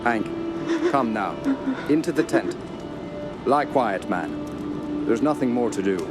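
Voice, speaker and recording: A man's voice: medium pitch, sing-song, slow, gravelly, exaggerated voice. A man playing a steadfast, authoritative uncle in a horror radio play, strained and urgent as he commands and consoles. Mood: firm comforting. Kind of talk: horror radio theater acting. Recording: loud music bed under voice.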